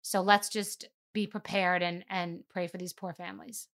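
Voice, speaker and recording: A woman's voice: on the low side, level tone, moderate pace. A woman hosting a podcast, talking emphatically in a casual monologue and rising to incredulous outbursts. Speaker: podcast host.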